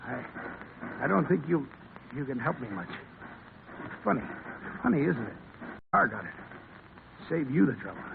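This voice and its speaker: An actor playing a tough, gruff but loyal American legionnaire in a 1930s radio drama, talking in slangy style. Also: male voice, medium pitch, very animated, normal speed, pauses often, phone-quality sound. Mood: weak, wounded. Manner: slangy tough-guy talk. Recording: scratchy, thin 1930s radio recording.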